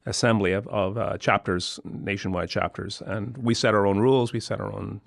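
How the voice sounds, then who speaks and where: Gravelly low Dublin-accented man. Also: steady tone, dry recording, clean studio sound. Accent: Dublin Irish. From Ireland (Dublin). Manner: halting, repeats words while explaining. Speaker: member of a charity club. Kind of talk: casual group chat.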